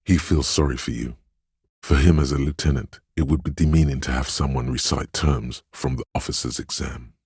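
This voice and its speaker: A man playing the gentle, perceptive inner voice of empathy in a role-playing game, narrating in a measured, intimate, solemn and literary way. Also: steady tone, no echo, clean studio sound, heavily acted. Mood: tender, perceptive. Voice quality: very deep, gravelly, husky.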